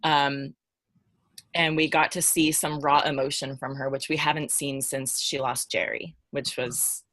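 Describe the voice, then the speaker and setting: A woman with a low voice in a close, dry recording. She speaks casually as a panelist in a discussion, working out her thoughts aloud and pausing to find the word she wants. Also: level tone, fairly bright tone, no echo. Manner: informal, thinking aloud, searching for words. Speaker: podcast panelist.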